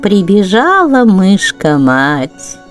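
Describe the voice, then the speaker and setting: A woman's voice: medium pitch, sing-song, drawn-out words, soft background music. A woman storyteller narrating children's verse expressively.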